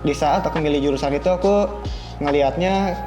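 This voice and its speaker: A man's voice: fairly high pitch, fairly quick. A young man, a student guest chatting casually in an interview. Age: young adult. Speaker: podcast guest, university student. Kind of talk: casual chat. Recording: music playing under the voice. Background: background music.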